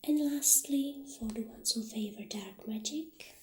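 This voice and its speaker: A woman speaking in a gentle, hushed ASMR roleplay style, partly whispering, as an obliging witch shopkeeper addressing a customer. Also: a little high, unhurried, very noisy. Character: soft-spoken witch shopkeeper, calm and obliging.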